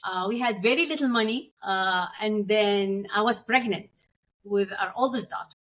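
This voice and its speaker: A woman's voice: medium pitch, normal speed, a bit bright, dull audio, slight background noise. Syrian-raised woman dentist telling her story. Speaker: dentist, guest. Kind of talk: interview storytelling.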